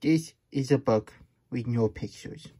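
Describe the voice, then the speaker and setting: A man voicing a childlike, fretful and grumbly plush hedgehog who hosts a puppet story time, stammering through exasperated asides with a husky voice. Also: mid-range voice, measured pace, dark tone. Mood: reluctant, fretful. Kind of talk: puppet read-aloud with chatty asides.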